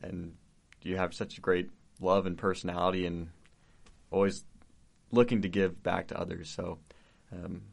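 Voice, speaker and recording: Deep gravelly young host, supportive. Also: male voice, little pitch movement, moderate pace. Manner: warm, supportive, many false starts. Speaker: podcast host. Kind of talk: casual conversation.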